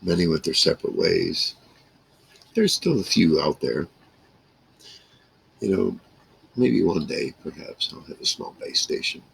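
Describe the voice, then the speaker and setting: A man in his sixties with a gravelly, husky voice, telling rambling, salty stories in a casual monologue to camera. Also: low voice, lively intonation, measured pace, long pauses, slight background noise, poor audio. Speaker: vlogger. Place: small wood-paneled room indoors.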